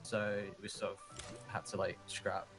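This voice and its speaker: Low-voiced man, casual. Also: takes it slowly. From England. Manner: casual and relaxed, with fillers like "you know". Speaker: map-building team member. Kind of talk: interview soundbites. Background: music.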